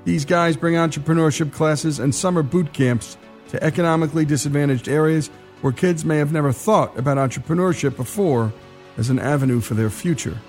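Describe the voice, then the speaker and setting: A man hosting the show, reading a scripted radio introduction in a smooth, upbeat announcing manner. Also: on the high side, brisk, a little mellow, soft background music. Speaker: host.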